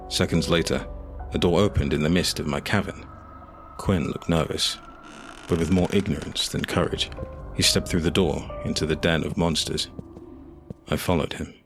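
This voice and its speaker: Very deep-voiced man narrating fantasy. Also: steady tone, soft background music. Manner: measured, solemn, in character. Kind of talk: scripted fantasy audio drama narration.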